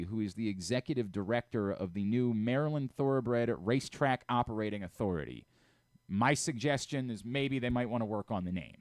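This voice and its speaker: Clear-voiced man hosting sports talk radio. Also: medium pitch, dry recording, clean studio sound. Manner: animated storyteller who re-enacts exchanges. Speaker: radio show host. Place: radio studio.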